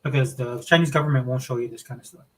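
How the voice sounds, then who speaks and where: Fast-talking opinionated male co-host. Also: mid-range voice. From Hawaii, raised in the US South. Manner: rambling, opinionated, many restarts. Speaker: co-host of a gaming podcast. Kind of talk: casual livestream chat.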